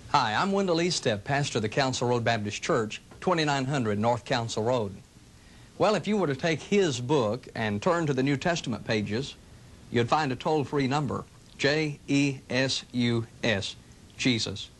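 A middle-aged man, a pastor, speaking in an earnest, gentle, inviting tone in scripted television ads from the 1980s. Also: medium pitch, lively intonation, normal speed. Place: studio sets and church rooms, indoors. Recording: 1980s local TV ad audio.

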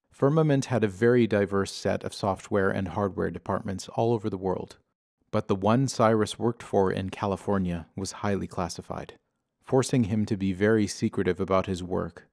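A man narrating a scripted audio drama in a measured storytelling manner, with a gravelly voice. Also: fairly low pitch, a little mellow, no room sound, clean studio sound. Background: music.

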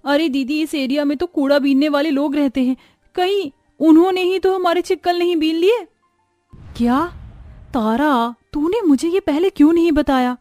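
A woman voicing a poor young village sister, earnest and expressive, in an animated moral story. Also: high voice, moderate pace, no room sound, studio quality, soft background music, natural voice. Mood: shocked, worried.

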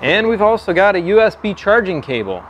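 American man, halting conversational delivery. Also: sits high, very animated, highs cut off, background noise. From Texas, United States. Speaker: product reviewer. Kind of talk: casual product review to camera. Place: open metal-roofed stable, seated at a pickup tailgate.

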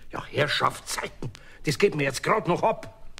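A man's voice: a little high, expressive, moderate pace, creaky, sharp tone, slight background noise, exaggerated voice. An actor playing an impatient, grumbling middle-aged master craftsman with a Bavarian accent, muttering in exasperation in a radio play. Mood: urgent.

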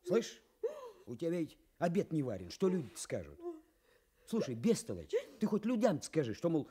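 A woman playing a brash young village lad in a radio drama, shushing, wheedling and roughly teasing. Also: sits low, huge pitch swings, moderate pace, warm tone. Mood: wheedling, mocking. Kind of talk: Soviet radio drama acting.

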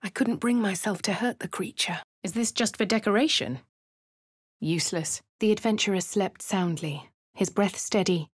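A woman's voice: medium pitch, moderate pace, breathy edge, no echo, studio quality, close to an everyday voice. Poised posh adventurer woman, as an actress voices her. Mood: dry, cool. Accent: upper-class English. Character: posh young adult woman adventurer, composed. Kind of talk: game character narrating her actions.

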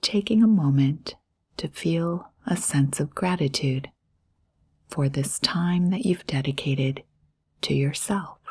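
A low-pitched woman leads a guided meditation in a breathy, near-whispered voice. She speaks very slowly and soothingly, leaving generous pauses between short phrases.

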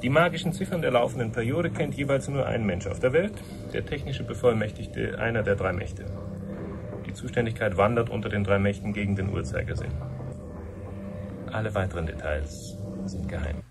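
Low-voiced, courteous official guide. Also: male voice, moderate pace, vocal fry. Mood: formal, courteous. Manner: polite, formal, explanatory. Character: courteous, formal male official guiding visitors through a command centre. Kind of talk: 1970s German radio drama acting. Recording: noisy 1970s radio broadcast recording.

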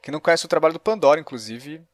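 A man's voice: on the high side, lively intonation, rapid-fire, no room sound, clean studio sound. A man with a Brazilian accent hosting a casual podcast interview. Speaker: podcast host.

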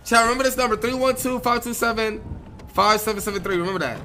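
Brash high-pitched man, street slang. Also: fast talker. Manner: brash, slangy, repeats phrases. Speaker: streamer role-playing in an online game. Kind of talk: improvised street role-play chat. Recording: noisy gaming mic, vehicle ambience.

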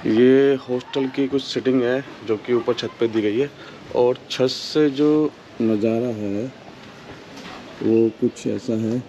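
A man's voice: medium pitch, steady tone, slow pace, warm tone, dull audio. A man in his thirties vlogging to camera outdoors, talking casually to his viewers. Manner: chatty, addresses viewers as friends. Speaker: travel vlogger. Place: outdoors on a rooftop and in streets. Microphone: phone at arm's length. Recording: background music over outdoor noise.

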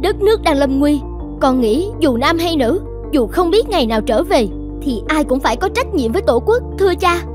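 Woman as a very high-pitched, determined cartoon daughter. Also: sing-song, moderate pace. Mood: resolute, pleading. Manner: earnest, resolute. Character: determined, idealistic young woman, a dutiful daughter. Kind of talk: animated cartoon drama dialogue. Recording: clear music behind the voice.

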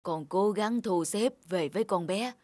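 A woman voicing a caring, worried old village grandmother in a cartoon, gently scolding and coaxing. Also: mid-range voice, measured pace, soft background music, natural voice. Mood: worried, chiding. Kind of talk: cartoon voice acting.

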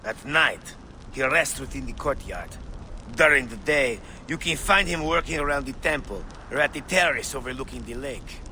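A man's voice: mid-range voice, lively intonation, normal speed, sharp tone, slight background noise, low-quality recording. An actor playing a fervent, vengeful male rebel leader in a video game, speaking emphatically, as if plotting. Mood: resolute, plotting. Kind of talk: dramatic game cutscene dialogue.

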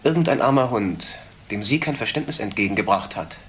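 Flippant idle nephew, mocking, crime drama, bright edge, noisy ambience. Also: male voice, expressive, brisk. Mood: sardonic. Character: sardonic, idle nephew of a stern doctor. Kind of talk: German crime drama acting. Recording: background noise, car rumble in places.

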